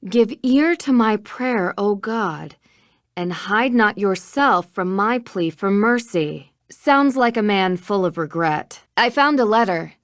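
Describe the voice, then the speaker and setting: A woman's voice: medium pitch, lively intonation, slow pace, a bit bright, no echo. An actress playing a curious, confident young female detective in a video game, inquisitive and a little dry in manner. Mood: thoughtful.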